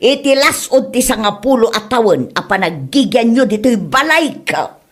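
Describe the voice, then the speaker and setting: A woman's voice: fairly high pitch, very animated, moderate pace. A woman playing a scheming, complaining elderly mother-in-law in a comedy audio drama, whining and groaning melodramatically. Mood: demanding, scolding. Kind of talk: comedy radio drama acting.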